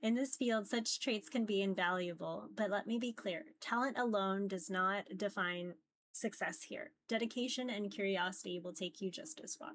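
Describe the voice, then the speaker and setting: A woman's voice: medium pitch, moderate pace, a bit bright, a little hiss or hum. A woman streamer reading a visual novel aloud in a casual live style, often stumbling over words and teasing herself.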